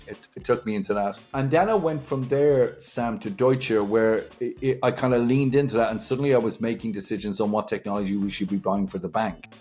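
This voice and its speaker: A man's voice: mid-range voice, darkish tone. A man in his fifties with a Dublin Irish accent, chatting as an interview guest and repeating words for emphasis. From Dublin, Ireland. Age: 50s. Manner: chatty, emphatic word repetition. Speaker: guest, technology entrepreneur. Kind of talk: conversational interview, recounting own career.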